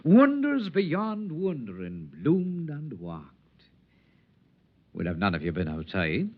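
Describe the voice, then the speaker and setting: An actor playing a whimsical, charming Irish outcast in a 1950s radio drama, speaking in lilting, poetic, theatrical phrases. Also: male voice, on the high side, huge pitch swings, slow pace, full character voice. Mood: rapturous, boastful. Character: whimsical, lyrical, charming male wanderer, a sprightly radiation-touched outcast. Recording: dull vintage 1950s radio recording.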